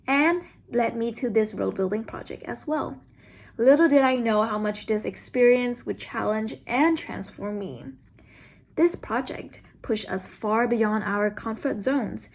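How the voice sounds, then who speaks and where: High-voiced young woman giving a speech. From Vietnam. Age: around 20. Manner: earnest, formal. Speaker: student speaker. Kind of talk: prepared speech.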